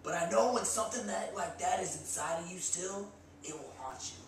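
A man's voice: high voice, moderate pace, slight background noise. A young man in his twenties hosting a comedy sketch, giving mock gym advice with cocky meathead bravado. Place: small indoor room against a bare wall. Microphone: far camera. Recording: echoey room from far off.

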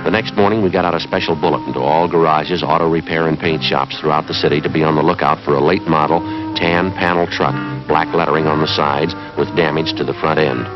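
A man's voice: rumbling low, fairly even intonation, fairly quick, over loud music. A man in his thirties playing a terse, matter-of-fact police detective in clipped 1950s procedural style. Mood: businesslike, deadpan. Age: 30s. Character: terse, businesslike male police detective narrating his case. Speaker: detective and narrator. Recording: dull 1950s film soundtrack.

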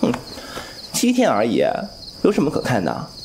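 A man's voice: medium pitch, wildly swooping pitch, normal speed, darkish tone, a little hiss or hum. A man playing a cheeky, candid young swordsman in a Chinese audio drama, with a playful, casual manner. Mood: playful, teasing. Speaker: voice actor.

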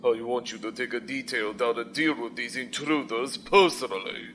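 Sci-fi audio drama: ruthless imperial commander played by a man, formal, controlled menace, mid-pitched, faint noise behind. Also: fairly even intonation, moderate pace, fairly bright tone, natural voice. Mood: cold command. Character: calculating, ruthless male station commander in a space empire. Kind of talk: science fiction audio drama acting.

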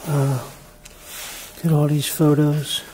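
A man talks through his walk around a large, empty jail building, in a flat, even tone with little rise and fall. His voice comes through a camera microphone with a steady hiss of background noise behind him. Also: slow, rough recording. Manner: low-key, conversational, pointing things out. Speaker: urban explorer host. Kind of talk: casual walkthrough narration.

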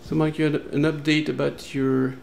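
A man's voice: a little high, flat delivery, moderate pace, gravelly, very noisy. A man chatting and narrating casually as the host of an electronics workshop video. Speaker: host of electronics restoration channel.

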